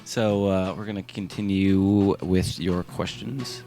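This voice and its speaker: A man's voice: deep voice, flat delivery, takes it slowly, vocal fry, warm tone, soft background music. A man from Ohio hosting a casual interview, easygoing and stumbling a little as he reads out listener questions.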